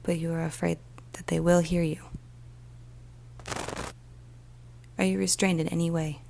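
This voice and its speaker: A woman voicing a weary, troubled police emergency dispatcher in a soft, often whispered ASMR storytelling monologue, speaking slowly and deliberately. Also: on the low side, level tone, gravelly, background noise. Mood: calm, reassuring. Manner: slow, hushed, deliberate, with pauses. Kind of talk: ASMR storytelling, first-person dramatic monologue.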